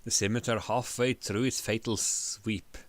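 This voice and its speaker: A man's voice: on the low side, fairly even intonation, a little slow, no room sound. A man reading pulp fantasy prose aloud as a theatrical narrator, sometimes stumbling over his words. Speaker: reader.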